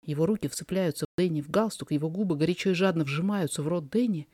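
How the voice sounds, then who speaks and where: A narrator reading a fiction story aloud in an expressive, dramatized way. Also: mid-range voice, fast talker, no echo, clean studio sound. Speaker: podfic reader, narrator.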